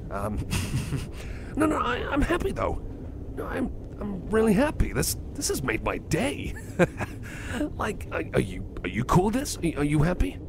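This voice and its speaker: A man acts out a bashful cyborg friend in an intimate ASMR roleplay. His gravelly, creaky voice swings up and down as he stammers and trips over his words. A steady vehicle rumble runs behind him. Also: exaggerated voice. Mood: happy, flustered. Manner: shy, stammering, flustered, repeating himself. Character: bashful, kind male cyborg friend nervously confessing a crush. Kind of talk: ASMR roleplay, spoken to the listener. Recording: clear background vehicle rumble noise. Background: train ride ambience.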